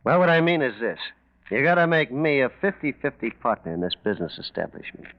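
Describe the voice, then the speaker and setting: A male actor plays a small-time racketeer in a 1940s radio crime drama. He is sly and sure of himself, talking in glib street slang with a lively, swinging pitch. The sound is dull and lo-fi. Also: a little fast, lightly acted. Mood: smooth, coaxing. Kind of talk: 1940s radio mystery acting. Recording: muffled lo-fi 1940s radio recording.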